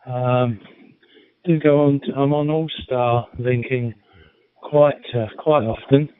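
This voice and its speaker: A middle-aged or older man chatting as an amateur radio operator, halting and understated, over a radio link. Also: mid-range voice, fairly even intonation, clean tone, no echo. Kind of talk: casual radio chat. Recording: narrow-band, phone-like radio link.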